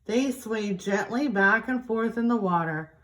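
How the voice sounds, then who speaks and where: A woman reading a picture book aloud to young children in an expressive, deliberate teacher's way. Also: fairly high pitch.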